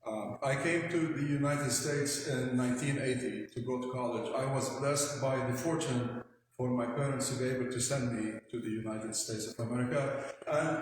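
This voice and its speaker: A man in his sixties with a Lebanese accent, introducing himself as a panelist to an audience with halting, hesitant phrasing. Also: mid-range voice. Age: 60s. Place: large indoor hall with long reverb. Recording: very echoey hall with faint noise.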